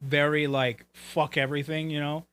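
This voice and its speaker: A man from Puerto Rico with a somewhat high voice hosts a talk show as casual chat. He talks in a loose, informal way and swears freely. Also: level tone, moderate pace, a bit bright, smooth. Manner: casual, profane.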